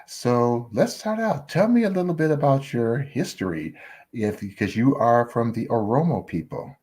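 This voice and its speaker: A man's voice: mid-range voice, lively intonation, normal speed, a little mellow. A man hosting an interview, reading a formal introduction and then asking casual questions. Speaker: interview host.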